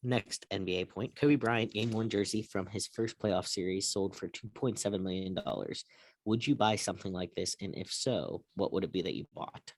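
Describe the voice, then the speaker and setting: A man's voice: sits low, moderate pace, no room sound. A man moderating a casual sports talk show, introducing topics and chatting with his co-hosts.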